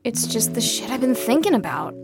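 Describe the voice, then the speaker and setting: A woman's voice: fairly high pitch, sing-song, fairly quick, vocal fry, over music. A woman playing an anxious, earnest young musician with casual delivery in an audio drama. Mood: brooding, worried. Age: young adult.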